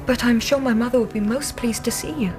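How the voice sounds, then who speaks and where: A woman's voice: fairly high pitch, fairly quick, lightly acted. An actress playing an earnest, trusting young Victorian lady in a radio drama, speaking politely and properly. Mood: eager, demure. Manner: polite, proper, eager to please. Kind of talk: period radio drama acting. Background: music.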